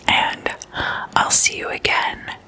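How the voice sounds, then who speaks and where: An ASMR host whispering in an intimate slumber-party chat, addressing listeners as guests and softly repeating words. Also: slow pace. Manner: repeats single words in soft trailing echoes.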